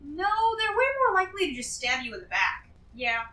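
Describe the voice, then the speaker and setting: Woman playing a high-pitched, chatty, greedy treasure-hoarder. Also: big pitch swings, fairly quick, sharp tone, exaggerated voice. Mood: reasoning, persuasive. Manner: rambling, hesitant, emphatic. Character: chatty, greedy female treasure-hoarder, shrewd and self-justifying. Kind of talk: improvised tabletop fantasy role-play acting. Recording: echoey room, distant from the mic.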